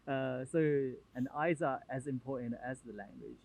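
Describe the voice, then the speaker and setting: A man from Nepal, a native Nepali speaker, answering interview questions in a casual, halting way with many fillers and restarts. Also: medium pitch, creaky. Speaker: podcast guest.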